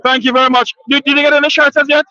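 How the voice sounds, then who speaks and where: A man's voice: very high-pitched, level tone, racing, pushed and bright. A man hosting live street interviews at a protest outdoors, upbeat and repeating his questions, often thanking people. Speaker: street interviewer and show host. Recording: dull lo-fi outdoor recording.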